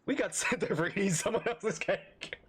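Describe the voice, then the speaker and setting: A woman's voice: mid-range voice, normal speed, slightly rough. A woman streamer giving casual live game reaction commentary, chatty and thinking aloud.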